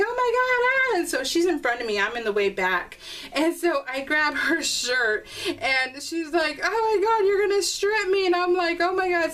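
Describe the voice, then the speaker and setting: A middle-aged woman chatting casually and telling animated, giggly stories while she works on a scrapbooking project. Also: a little high. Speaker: scrapbooking vlogger. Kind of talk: casual storytelling while crafting.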